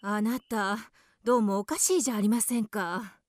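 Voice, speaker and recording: A woman's voice: mid-range voice, normal speed, no room sound, close to an everyday voice. An actress playing an anxious, prim wife and mother with a scolding, proper way of speaking in a radio drama. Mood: uneasy, grumbling. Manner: scolding, proper feminine diction.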